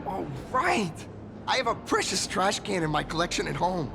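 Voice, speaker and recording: Young earnest anime game hero: high voice with some rasp, starstruck, humble, stammers when flustered. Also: male voice, sing-song, moderate pace, background noise, heavily acted. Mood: gushing, flustered. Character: excitable starstruck young male actor-turned-masked-hero, earnest and humble. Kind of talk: anime-style video game voice acting.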